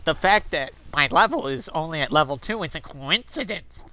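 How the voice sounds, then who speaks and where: Man putting on a nasal nerd voice, smug, defensive, bright and pitched up. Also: expressive, moderate pace, a little hiss or hum. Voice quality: nasal, pinched nose. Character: smug nerdy male forum poster, defensive.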